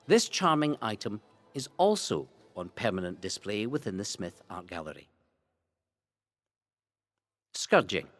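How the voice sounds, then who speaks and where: A Scottish man reading a scripted history narration in a theatrical, relishing way, with deliberate emphasis. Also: on the low side, very animated, a bit bright, no room sound. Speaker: narrator of a local history audio guide. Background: music.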